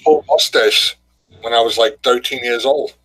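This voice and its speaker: A man's voice: mid-range voice, moderate pace, a bit bright, low-quality recording. A middle-aged man co-hosting a live stream over a video call, chatting casually and greeting viewers by name. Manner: easygoing, greets viewers in chat. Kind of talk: casual chat.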